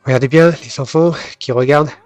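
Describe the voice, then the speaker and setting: A man's voice: medium pitch, fairly quick, a little mellow. A man doing voice-over commentary on film clips as a host, explaining things and putting questions to viewers. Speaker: host of a film goofs video series. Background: background music.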